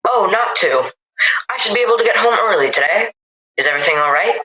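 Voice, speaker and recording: A woman's voice: medium pitch, expressive, fast, bright, forceful tone. A woman acting a concerned but firm husband in an amateur audio drama, on a slightly dull recording.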